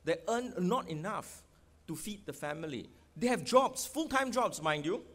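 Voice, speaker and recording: A man's voice: sits high, expressive, normal speed, fairly bright tone. A middle-aged man with a Singaporean accent gives an emphatic election rally speech at a podium microphone, firing rhetorical questions at the crowd. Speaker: political candidate. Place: outdoor stadium rally stage.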